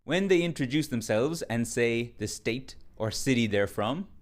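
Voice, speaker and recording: A man delivers a quick lesson straight to the camera. His voice sits at an ordinary middle pitch, and he lectures with emphatic, mock-indignant firmness. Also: expressive. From Ireland. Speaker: English teacher. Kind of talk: direct-to-camera short lesson.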